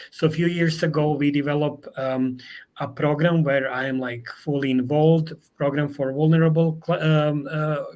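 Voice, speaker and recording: A middle-aged man with a Serbian accent, a Serbian speaker answering interview questions in halting English with many fillers and restarts. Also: fairly high pitch. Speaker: guest.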